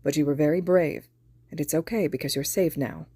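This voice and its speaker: A woman voicing a gentle, earnest adult male hero, speaking softly in her own low voice. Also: fairly quick, husky, dry recording. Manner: soft and earnest.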